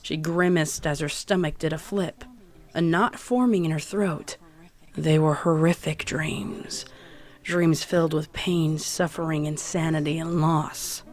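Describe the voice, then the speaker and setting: Low-voiced woman narrating a horror story. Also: fairly even intonation, unhurried.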